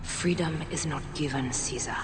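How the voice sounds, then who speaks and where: An actor playing a vengeful, resolute assassin with solemn delivery in a dramatic video game cutscene. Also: male voice, a little high, moderate pace, natural voice. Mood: grim resolve. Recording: noisy, echoing long hall.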